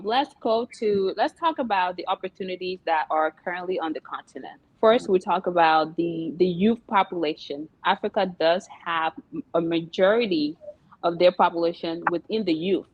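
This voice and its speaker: A woman's voice: fairly low pitch, moderate pace, dry recording, dull audio. A young woman from Liberia, a guest entrepreneur in an interview, talking casually with many fillers and laughs. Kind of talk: interview chat.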